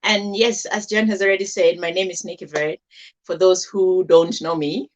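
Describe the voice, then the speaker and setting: A woman's voice: on the low side, fairly even intonation, normal speed. A woman from Cameroon, an author and speaker, answering as a guest on a live-stream show in a friendly, easygoing way. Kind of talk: interview guest.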